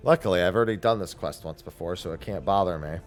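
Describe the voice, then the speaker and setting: A man's voice: deep voice, quick pace. A man giving casual, playful playthrough commentary on a game in a theatrical way. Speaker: game streamer. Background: game music.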